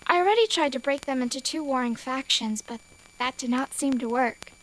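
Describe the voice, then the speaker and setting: A woman's voice: high-pitched, a little fast, a bit bright, poor audio. A woman playing a scheming, deferential adviser to an empress in an audio drama, formal and careful. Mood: deferential, measured.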